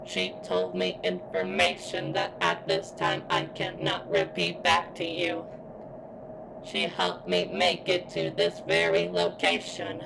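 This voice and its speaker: Woman voicing a creaky, pained robot girl. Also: sits low, sing-song, background noise, full character voice. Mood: grave, confiding. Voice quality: strained, as if in pain. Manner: formal, halting, deliberate robotic delivery. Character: pained female robot security bot craving freedom and sentience. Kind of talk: ASMR roleplay.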